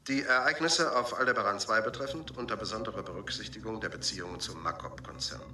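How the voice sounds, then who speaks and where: An actor playing a stern government official who reads formal statements emphatically in a radio drama. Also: male voice, on the low side, fast talker, bright, forceful tone. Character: stern government senator reading official crisis memoranda. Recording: roomy binaural studio sound.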